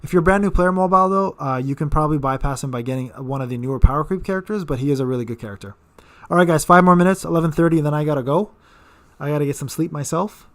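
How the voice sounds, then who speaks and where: Rambling man in his thirties, slightly high voice. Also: racing. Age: 30s. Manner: rambling, repeats words, frequent fillers. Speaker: gaming channel host. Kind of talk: casual live-stream Q&A.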